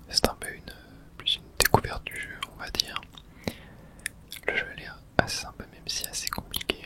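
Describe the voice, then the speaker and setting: A person whispering casual, hushed ASMR commentary while playing a video game, breathy and thinking aloud.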